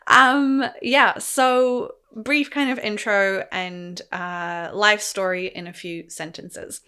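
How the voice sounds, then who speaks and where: A woman's voice: mid-range voice, steady tone, slow, pushed and bright, smooth. A young woman hosting a solo podcast, with an international, American-leaning accent with a slight New Zealand twang, talking in a rambling, chatty way, full of fillers and easy laughs. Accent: American-leaning international English with a slight New Zealand twang. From born in Poland, grew up in Germany. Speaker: podcast host. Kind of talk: casual solo podcast monologue.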